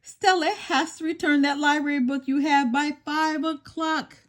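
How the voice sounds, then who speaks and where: A woman's voice: high voice, steady tone, normal speed, voice pushed up, natural voice. A woman voicing a keen, bug-loving young boy scout in a children's storybook, eager and emphatic. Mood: urgent shouting.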